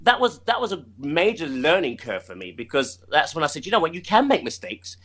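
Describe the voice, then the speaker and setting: A man's voice: fairly high pitch, sing-song, fairly quick, fairly bright tone. A man talking casually as an interview guest, rambling and repeating himself, laughing often. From United Kingdom.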